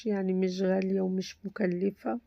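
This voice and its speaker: A woman with an Egyptian Arabic accent, hoarse from a cold, casually talking through a simple craft tutorial and reassuring viewers that it is very easy. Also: mid-range voice, level tone, fairly quick, dry recording, dull audio. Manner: chatty, reassuring, repeats "very easy". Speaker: crafts channel host.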